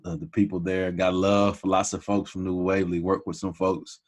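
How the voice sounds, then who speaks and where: A man's voice: low-pitched, level tone, brisk, a little mellow. A man in his forties, a teacher and podcast guest, talking in casual conversation, humble and friendly, calling people "man" often. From Texas.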